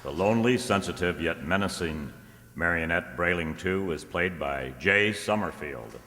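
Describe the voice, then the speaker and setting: A man announcing from a script in a deliberate, theatrical style. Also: deep voice, animated. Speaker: announcer reading cast credits. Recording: noisy echoey hall, loud crowd behind.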